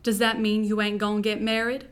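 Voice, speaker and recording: A woman's voice: medium pitch, monotone, a little fast, clean tone, natural voice. A woman voicing a teasing, protective Southern father with a put-on American Southern accent and folksy talk.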